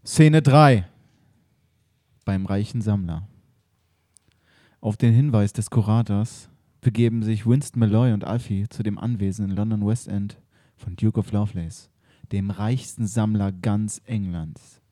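Calm male radio-play narrator. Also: fairly low pitch, a little slow, no room sound, studio quality.

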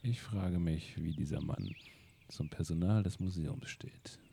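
A man playing a deadpan detective. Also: sits low, a little slow, gravelly, breathy edge, full character voice. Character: dry, deadpan male detective.

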